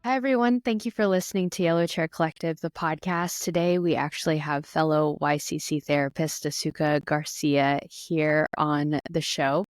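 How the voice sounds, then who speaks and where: A woman's voice: deep voice, fairly even intonation, moderate pace, hardly any pauses, no room sound. A woman hosting a podcast, talking in a friendly, conversational way. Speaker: podcast host, therapist. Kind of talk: podcast interview.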